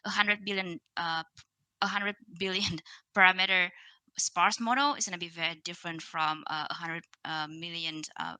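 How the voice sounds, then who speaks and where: A woman in her thirties with a Vietnamese accent gives a conference talk from a video call. Her voice is very bright and sounds dry and close. She speaks loosely, full of fillers and restarts. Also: fairly low pitch, no room sound, low-quality recording. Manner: casual, many fillers and restarts.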